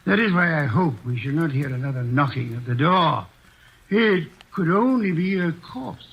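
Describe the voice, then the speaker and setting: A fussy, imperious detective holds court in an old radio play with a gravelly, slightly high voice. He reasons with cool, pompous precision. The dull, lo-fi sound of an old radio recording surrounds him. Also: expressive, moderate pace, noisy background. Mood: dry, deductive. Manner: precise, pompous, coolly logical. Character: portly, fastidious, imperious male detective. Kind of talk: 1940s radio acting.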